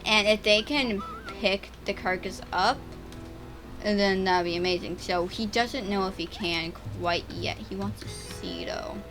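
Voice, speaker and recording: A teenage girl chatting and stammering through gameplay commentary on her own channel, with a voice she calls a little deeper and rougher over time. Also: a little slow, over music, poor audio. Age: teens. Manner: chatty, stammering, restarts words. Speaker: gaming YouTuber.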